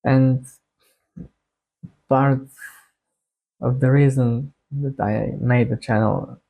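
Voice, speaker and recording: A young man from Bulgaria with a Bulgarian accent, talking casually to his viewers in a halting, hesitant way. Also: medium pitch, steady tone, gravelly, phone-quality sound. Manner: halting, hesitant delivery with long phrasing breaks. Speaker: YouTuber introducing a music channel. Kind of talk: casual to-camera monologue.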